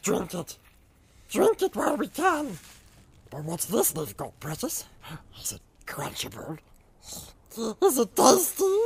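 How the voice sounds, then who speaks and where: A man voicing a thin, greedy male creature who talks of himself in the third person, in a gravelly, whining, drawn-out voice. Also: very high-pitched, wildly swooping pitch, pitched above the performer's own voice, heavily acted. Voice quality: gravelly, croaking, sing-song. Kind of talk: audiobook character voice.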